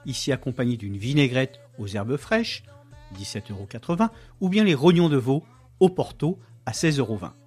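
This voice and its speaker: A man working as a reporter, narrating a segment and asking interview questions in a clear, measured way. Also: mid-range voice, a little mellow.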